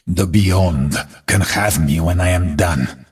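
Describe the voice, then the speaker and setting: An actor playing a weary, resolute warrior who accepts death, speaking solemnly in a husky voice as a video game character. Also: male voice, sits low, heavily acted. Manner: solemn, measured delivery.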